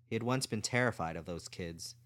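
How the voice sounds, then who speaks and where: A man's voice: fairly low pitch, a little fast, a bit bright. A man reading fiction aloud as a scripted story narrator. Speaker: audiobook narrator.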